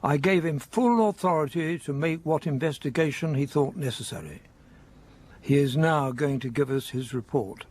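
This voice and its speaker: An actor playing a courteous, authoritative colonel in a radio drama, speaking formally and officially. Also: male voice, on the high side, normal speed, a little mellow, close to an everyday voice. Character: courteous, authoritative male colonel chairing an inquiry.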